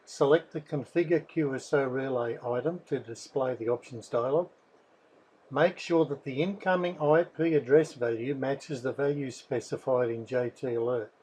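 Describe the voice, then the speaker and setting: A man, reading a scripted software tutorial in a methodical, instructional way. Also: mid-range voice, slight background noise, poor audio. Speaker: software developer presenting a tutorial.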